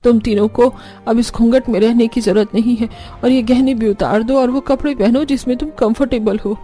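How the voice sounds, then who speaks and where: A woman's voice: a little high, fairly even intonation, normal speed, mellow, faint music behind, close to an everyday voice. A woman playing a weary, ageing village mother and mother-in-law in a cartoon family drama. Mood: kindly.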